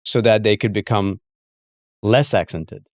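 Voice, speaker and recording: A middle-aged man hosting a casual interview, haltingly searching for words and repeating himself. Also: on the low side, no echo, clean studio sound. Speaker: interview host.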